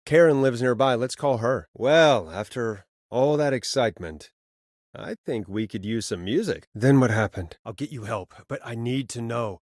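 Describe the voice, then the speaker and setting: A man's voice: medium pitch, moderate pace, darkish tone, no room sound, clean studio sound, lightly acted. A man playing an earnest radio host in a video game, conversational and naturalistic, guiding people through emergencies. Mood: businesslike.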